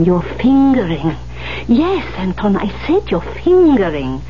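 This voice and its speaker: An actress playing a bossy, coaxing older woman who mentors a pianist in a 1970s radio drama, speaking with insistent emphasis. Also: mid-range voice. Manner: insistent, emphatic, halting when delicate. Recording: dull vintage radio recording, faint hum.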